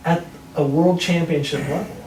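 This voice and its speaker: Man asking crisp interview questions, roomy sound. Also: fairly high pitch, fairly even intonation, normal speed, a little mellow. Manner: direct, brief questions. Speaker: interviewer, host. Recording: roomy echo, mic at a distance, faint noise.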